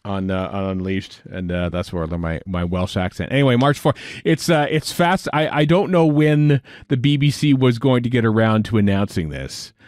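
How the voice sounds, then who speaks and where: A man with a somewhat low voice, co-hosting a chatty podcast. He rambles and halts, circling back with fillers. His voice is dry and close, with no room sound. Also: moderate pace, hardly any pauses, darkish tone, no room sound. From United States. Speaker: podcast co-host. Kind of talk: casual chat.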